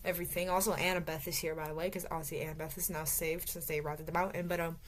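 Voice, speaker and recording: A woman talks through a book chapter by chapter in a loose, rambling way, full of fillers and restarts. Her voice sits a little low and sounds tired from talking so long. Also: level tone, rapid-fire, slight background noise. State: voice getting tired. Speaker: book reviewer. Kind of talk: casual book review recap.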